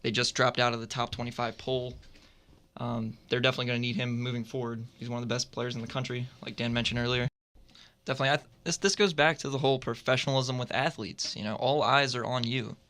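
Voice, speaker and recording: A man's voice: medium pitch, fairly even intonation, fast, sharp tone. A man giving firm opinions and predictions as a panelist on a roundtable sports radio show, recorded in a studio.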